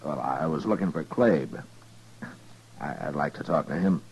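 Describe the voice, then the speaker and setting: A man acting a calm, dogged frontier marshal in a 1950s radio western, speaking in a halting, understated, dry way. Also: rumbling low, husky, full character voice. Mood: hesitant, probing. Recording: noisy vintage radio drama recording.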